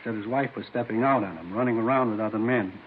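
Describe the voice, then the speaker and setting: An actor playing an uneasy, down-on-his-luck man informing the police, speaking haltingly and earnestly in 1950s radio drama style. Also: fairly low pitch, natural voice. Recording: hissy, tinny old radio recording.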